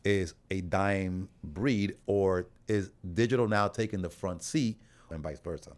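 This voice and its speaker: A man with a fairly low voice, hosting a conversation. He speaks informally and in an affirming way, like casual chat between people at ease with each other. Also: unhurried, darkish tone. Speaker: podcast host.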